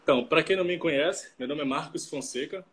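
A man in his late twenties from Salvador, Brazil, co-hosting a casual live stream and talking with a video-call mic, relaxed and full of fillers. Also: fairly high pitch, quick pace. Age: 20s. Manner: relaxed, chatty, many fillers and tag questions.

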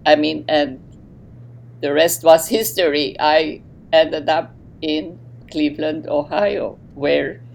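Lebanese armenian lady, slow talker, bit of fry in her voice, chatty guest. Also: sits low, steady tone, poor audio. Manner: warm, unhurried, with fillers and laughs. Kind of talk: podcast interview, telling her life story.

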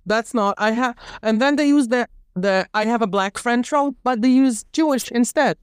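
A woman speaking English as a second language, arguing her point with emphatic indignation and stopping often to restart a sentence. Her voice is very clean and smooth, a touch higher than most women's, and the sound is close and dry. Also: moderate pace, dry recording. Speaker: guest. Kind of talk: heated podcast discussion.

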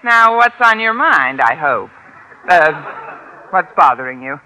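A woman's voice: low-pitched, very animated, a little slow, pushed and bright, exaggerated voice, rough recording. An American actress playing a wry, middle-aged woman schoolteacher with dry, sardonic wisecracks in a 1950s radio sitcom. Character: wry, wisecracking single woman high school English teacher. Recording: hissy old radio broadcast.

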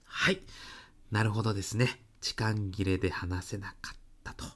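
A woman's voice: extremely low pitch, level tone, normal speed, a bit bright. A woman playing a polite, deferential young salaryman son-in-law in a comic radio drama, with a hesitant businesslike manner. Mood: businesslike, courteous.

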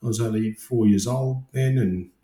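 A man's voice: fairly low pitch, moderate pace, warm tone. Man with many fillers, echoey room. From Iran, raised in Australia. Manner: hesitant, many fillers and restarts. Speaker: guest, construction project manager. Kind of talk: casual interview conversation.

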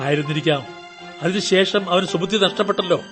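An actor playing a callous, scheming middle-aged father in a radio drama, commanding and quick to bark. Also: sits high, a bit bright, faint music behind. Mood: self-justifying. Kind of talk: Malayalam radio drama acting.

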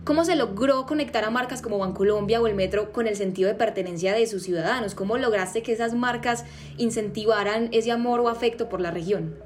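A woman's voice: fairly high pitch, brisk, a little hiss or hum. A woman hosting an interview, asking her guest questions in a courteous, admiring way. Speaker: interview host.

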